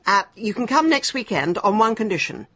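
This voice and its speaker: Brusque, haughty middle-aged English city woman, lively intonation, slightly muffled with music. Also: mid-range voice, a little fast. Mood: dry, brisk. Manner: dry, haughty, sardonic. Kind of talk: radio drama acting. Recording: dull lo-fi radio drama with music.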